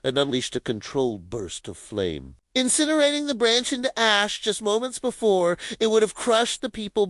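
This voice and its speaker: A woman storyteller reading a children's cartoon tale, slow and deliberate in pace. Her voice rises and falls dramatically from phrase to phrase. It is recorded dry and close, with no room sound at all. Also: on the low side, dry recording. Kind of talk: scripted story narration for children.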